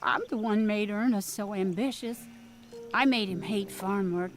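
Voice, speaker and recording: A woman's voice: medium pitch, unhurried, faint music behind, close to an everyday voice. A woman playing a wry, plainspoken farm mother in an audio drama, telling homespun, rambling stories in a conversational way. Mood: remorseful. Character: folksy farm mother, wry, plainspoken, remorseful about her son.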